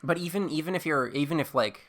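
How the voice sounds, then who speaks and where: A man's voice: fairly high pitch, fast talker, a bit bright. A man in his early twenties chatting casually as a podcast co-host, informal and often circling back over his own words. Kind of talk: casual rambling chat.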